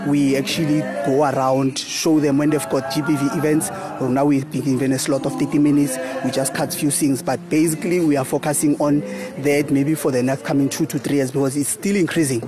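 Casting note: man from South Africa, theatre director, earnest, explains at length, music plays clearly behind him. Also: on the high side, little pitch movement, brisk. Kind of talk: interview talk.

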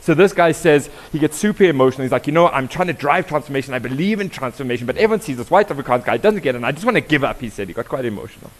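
A young South African man giving an interactive conference talk, firing questions at the audience in a lively way. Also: mid-range voice, rapid-fire, few pauses. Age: young adult. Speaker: diversity workshop presenter.

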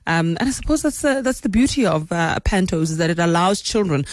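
A woman's voice: lowish voice, animated, brisk, dry recording, studio quality. A woman with a South African accent hosting a live radio interview in a conversational, affirming way. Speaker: radio host. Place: radio studio.

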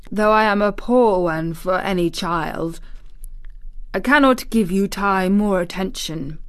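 A woman acting a slow, miserable gentleman guardian. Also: medium pitch, natural voice. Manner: worried, absent-minded. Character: miserable, fretful, distracted middle-aged gentleman guardian.

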